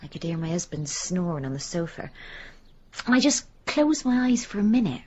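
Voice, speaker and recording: An actress playing a blunt, confiding working-class village wife in a radio drama. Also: female voice, fairly low pitch, big pitch swings, moderate pace, darkish tone, breathy edge, lo-fi sound. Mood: wistful, resigned.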